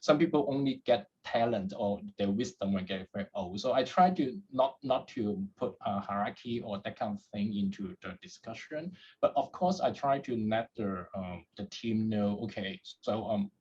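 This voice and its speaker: Husky low-voiced man from Hong Kong. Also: a little mellow, dull audio. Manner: halting, repeats words while thinking. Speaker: guest, head of data at a startup. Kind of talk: podcast interview answers.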